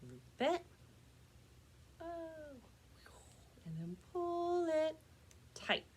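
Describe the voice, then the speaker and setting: A woman's voice: very high-pitched, very animated, drawn-out words. A woman presenting a step-by-step craft demonstration for children as a librarian, speaking to her young viewers in a friendly, direct way.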